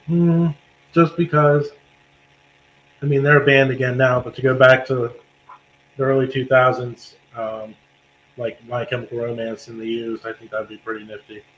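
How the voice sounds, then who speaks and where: A man's voice: medium pitch, flat delivery, moderate pace, a bit bright, muffled recording, a little hiss or hum. A man with a Pennsylvania accent in a band, answering hesitantly and humbly in an interview. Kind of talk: casual interview chat.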